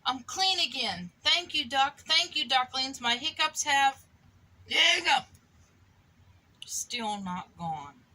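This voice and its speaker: Storybook piglet boy, played by a woman: high, bright, sad but polite, hiccuping. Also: animated, slow, heavily acted. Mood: polite, hopeful.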